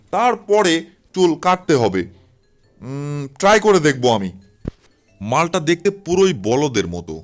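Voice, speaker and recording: A man voicing a cocky, grumbling parlour worker in a comic cartoon dub, with dramatic delivery. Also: fairly high pitch.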